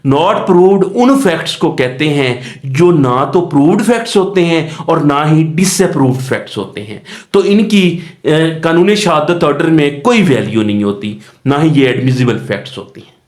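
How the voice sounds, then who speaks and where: A man giving a calm law lecture, explaining step by step and repeating key terms. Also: fairly high pitch, moderate pace, few pauses. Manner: explains step by step, mixing English legal terms into Urdu-style Hindi; calm, repeats key phrases and checks in with "theek hai ji". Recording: echoey room.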